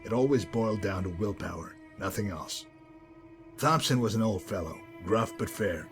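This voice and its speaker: A man playing a cold, cynical former pit fighter and gang enforcer in a video game, speaking in a calm, wry, articulate and menacing way. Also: lowish voice, normal speed, soft background music, close to an everyday voice. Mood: grim reminiscing. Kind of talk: video game character dialogue.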